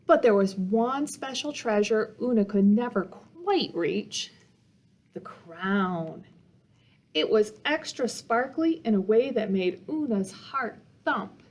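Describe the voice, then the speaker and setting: A woman's voice: medium pitch, expressive, takes it slowly. A woman reading a children's picture book aloud as a storytime reader, with dramatic emphasis meant to engage young listeners.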